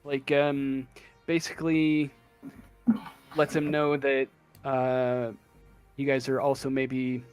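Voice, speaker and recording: A man narrating a tabletop game casually as game master, improvising in a hesitant, halting way. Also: medium pitch, monotone, faint music behind.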